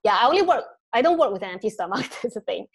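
A fairly high-voiced woman in her thirties talks casually over a video call from a room at home. Her nose is slightly stuffy and she laughs often between her sentences. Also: very animated, rapid-fire, husky. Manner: laughs often, mixes in Mandarin. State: congested nose. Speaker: TV presenter, guest. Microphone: video call, earphone mic.

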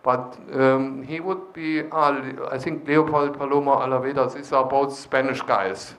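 A man's voice: mid-range voice, fairly even intonation, moderate pace, a bit bright. A man leading a conference discussion, encouraging attendees and explaining with hesitant fillers, speaking into a handheld microphone in a large lecture hall. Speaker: session leader.

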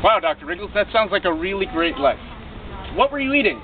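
A young man presents a children's puppet show outdoors, playing the animated straight man. His intonation is very lively as he puts questions to the audience. He is picked up from a distance in thin lo-fi sound with guitar music. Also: high voice, brisk, a crowd in the background. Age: young adult. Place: outdoors on a lawn. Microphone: camera a few metres away.

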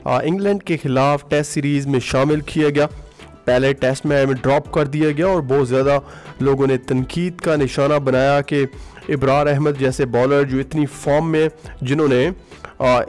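A man's voice: a little high, a little mellow. A man talking through a cricket match in a casual voice-over. He uses many filler sounds and drops in English phrases. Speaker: cricket commentary vlogger. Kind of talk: solo cricket commentary over music. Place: voice-over, not recorded at the ground.

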